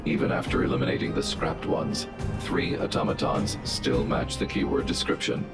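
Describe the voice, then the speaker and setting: Stern robot protector for a game: extremely deep, gravelly, flat and analytical, echoey with loud music. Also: male voice, normal speed. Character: huge stern male guardian robot.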